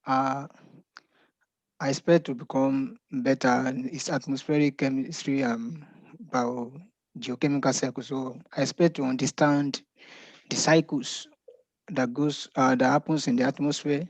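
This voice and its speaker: A man's voice: medium pitch, fairly even intonation, a little slow, no echo, low-quality recording. Hesitant male student on a call. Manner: hesitant, self-correcting.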